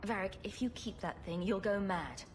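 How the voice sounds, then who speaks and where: Woman actress as snarky cool rogue champion, medium pitch, game scene, bit of background noise. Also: normal speed, lightly acted. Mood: firm, dry. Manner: dry, sardonic. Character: sarcastic, cool-headed female rogue champion. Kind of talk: video game cutscene acting.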